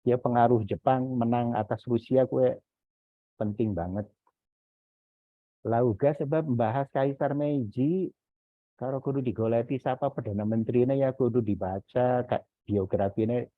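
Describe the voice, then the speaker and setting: A man's voice: mid-range voice, normal speed. A man giving informal, didactic feedback as a university lecturer in an online class. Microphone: video call. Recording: echoey, phone-like video-call audio.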